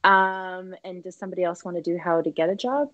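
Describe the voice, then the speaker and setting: A woman's voice: lowish voice, a little fast, fairly bright tone, no room sound, muffled recording. A woman in her thirties chatting and explaining casually as a staff panelist on a video call. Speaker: rescue centre staff panelist. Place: indoor room, video call.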